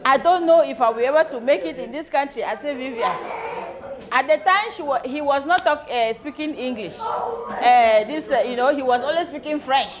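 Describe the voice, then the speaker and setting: A woman's voice: sits high, normal speed. A middle-aged woman giving a birthday toast at a party, telling a story and laughing often. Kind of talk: birthday speech. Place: party hall. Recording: loud crowd at a party.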